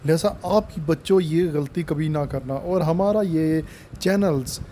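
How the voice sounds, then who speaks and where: A man's voice: fairly high pitch, unhurried, dark tone, slight background noise. A man narrating informally as a storyteller, giving children a moral as the channel host.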